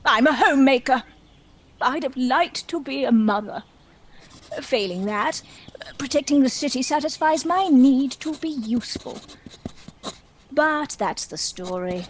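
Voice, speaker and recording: A woman's voice: high voice, lively intonation, a little slow, pauses often, slight background noise. A woman playing a homely, wry, motherly female dragon in a stage play, dignified and protective. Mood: wistful, wry.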